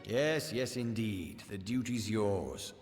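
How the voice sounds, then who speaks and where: A proud fantasy army officer in a video game. He speaks with blustering authority and very lively intonation. Music plays behind his voice, which carries a long reverb. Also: lowish voice, slow. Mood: gruffly reflective. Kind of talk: video game character acting.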